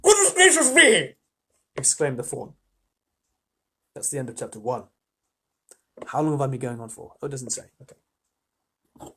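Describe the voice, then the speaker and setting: A man reading a children's story aloud as an expressive storyteller, with casual asides to listeners. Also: medium pitch, moderate pace, pauses often, slightly rough.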